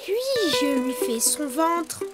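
A performer voicing a brave, cheerful little boy rabbit in a preschool cartoon, explaining things clearly and encouragingly. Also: high-pitched, slow pace. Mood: instructive. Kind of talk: preschool cartoon voice acting, instructing. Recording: music behind the voice.

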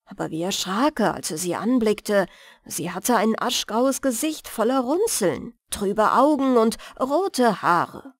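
Slow-reading woman storyteller, close and dry. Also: on the high side, animated, no echo. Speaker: storyteller and audiobook reader. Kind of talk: bedtime fairy-tale narration.

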